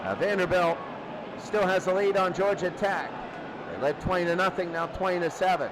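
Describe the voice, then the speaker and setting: A man calling football play by play in short, clipped, excitable phrases. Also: high-pitched, normal speed. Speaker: play-by-play sports commentator. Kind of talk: live American football commentary. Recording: noisy crowd bed behind commentary.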